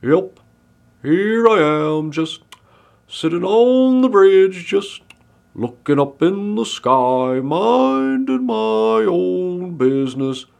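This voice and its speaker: A greedy pig from a children's tale. The voice sits high and swoops widely, drawing words out in a slow, falsely innocent singsong. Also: male voice, sing-song, gravelly, a little mellow, full character voice. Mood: feigned innocence. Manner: drawn-out words, feigned innocence. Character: greedy pig, lazily singsong, bursting into gleeful shouts.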